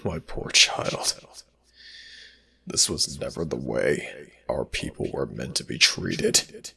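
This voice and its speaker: A sorrowful god of an audio roleplay, grave and compassionate. His voice is rock-bottom low and heavily creaky, with a harsh, husky edge. He speaks in halting phrases that often sink toward a whisper. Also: bright, forceful tone, exaggerated voice. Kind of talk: ASMR audio roleplay, dramatic internal narration.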